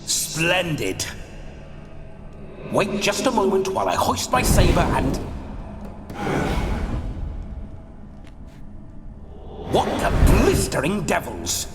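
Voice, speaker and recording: A man's voice: sits high, big pitch swings, a little slow, vocal fry, exaggerated voice. An actor playing a roguish, bawdy ghost of a dead nobleman in a video game, with theatrical, swaggering, old-fashioned speech. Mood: delighted, eager. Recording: long reverb, music behind.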